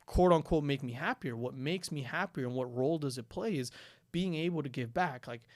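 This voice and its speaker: Young dude from ecuador, casual storytelling, lots of restarts, dry close sound. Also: male voice, fairly high pitch, fairly quick, no room sound. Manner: animated storytelling, frequent "dude" and "like", many restarts. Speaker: guest. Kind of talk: casual podcast conversation.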